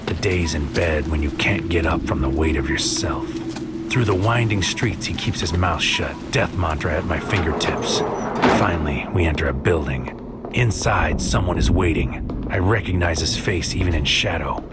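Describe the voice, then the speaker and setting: A brooding, bitter psychic soldier played by a man narrates in a very deep voice over prominent music. The phrases are clipped and poetic, in the style of a noir spoken-word audio drama. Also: moderate pace.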